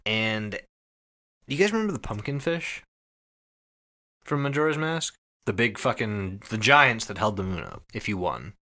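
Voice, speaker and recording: A man hosting a podcast, giving a casual, profanity-laced story recap to his co-hosts in an animated way. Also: fairly low pitch, measured pace, long pauses. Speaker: podcast host.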